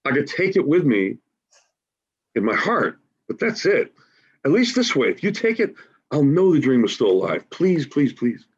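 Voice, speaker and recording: Lo-fi call recording; stage role of folksy homeless dreamer played by a man, husky, coaxing, very animated. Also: on the high side, normal speed. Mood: earnest, coaxing. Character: big-hearted, folksy homeless man Joe, a dying dreamer. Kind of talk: staged play reading, dialogue. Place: remote reading over video call. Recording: dull, lo-fi video call audio.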